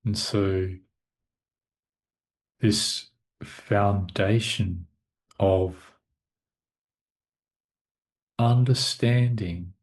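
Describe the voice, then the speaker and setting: Very slow, low-voiced male meditation guide. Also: darkish tone. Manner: measured, with long pauses between short phrases. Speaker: meditation teacher.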